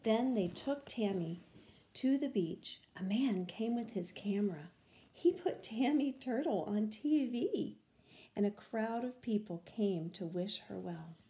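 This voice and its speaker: An older woman reading a children's picture book aloud in a deliberate, expressive way, stressing key words. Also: medium pitch, roomy sound. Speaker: read-aloud storyteller. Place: small room at home, indoors.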